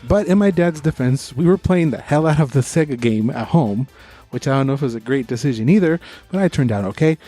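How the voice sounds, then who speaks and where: A man's voice: medium pitch, animated, fast, dark tone, faint music behind. A man co-hosting a podcast, giving opinionated, informal review chat with bits of trivia. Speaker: podcast co-host.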